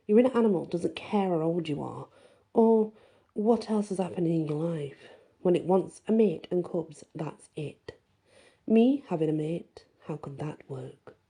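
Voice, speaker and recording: A woman's voice: on the low side, warm tone, slightly rough. A woman storyteller reading scripted first-person fantasy narration in an ASMR style.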